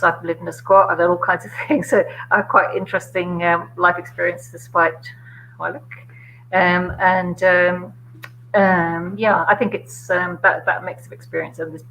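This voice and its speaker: An older woman with a London middle-class accent, chatting casually about politics with many fillers and quick laughs. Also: on the low side, vocal fry, a bit bright, a little hiss or hum, low-quality recording. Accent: London middle-class English. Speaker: guest, former MP.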